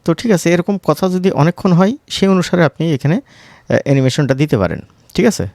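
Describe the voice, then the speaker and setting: A man's voice: a little high, lively intonation, fairly quick, gravelly, a little mellow, no room sound, studio quality. A man with a Bangladeshi Bengali accent giving an informal tutorial, conversational and often checking in with short question tags. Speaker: tutorial instructor. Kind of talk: informal screen-recorded software tutorial.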